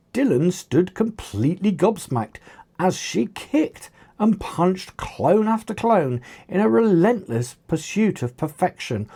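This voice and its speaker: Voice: mid-range voice, gravelly, dark tone. A narrator reading an action story aloud in a dramatic storytelling manner. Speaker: author reading own book.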